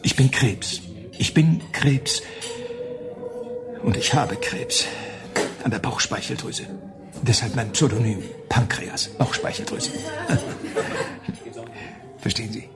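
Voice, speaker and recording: Jittery, animated, secretive dandy. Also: male voice, medium pitch, slow, long pauses, loud music behind the voice. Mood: morbidly jokey. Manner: hesitant, hushing, fussily polite. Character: nervous, secretive, dandyish male psychic client, jokey but scared. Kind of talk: German radio play acting.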